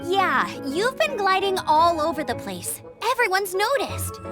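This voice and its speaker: A woman's voice: very high voice, very animated, a little slow, full character voice. A woman voicing an eager, slightly bossy young knight scout who chats playfully about rules in game dialogue. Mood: upbeat. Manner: upbeat, chatty, rule-quoting but playful. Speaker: gliding examiner. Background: game music.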